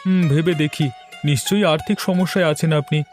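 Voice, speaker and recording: A man's voice: on the high side, fairly even intonation, a little fast, darkish tone, soft background music, natural voice. A man voicing a nosy, chatty male neighbour in cartoon dialogue. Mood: inquisitive, friendly. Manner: inquisitive, chatty.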